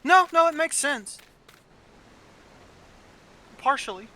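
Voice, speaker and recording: A man hosting a gaming video with casual, blunt, sweary commentary and animated storytelling. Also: very high-pitched, takes it slowly, slight background noise. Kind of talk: casual gameplay chat and commentary.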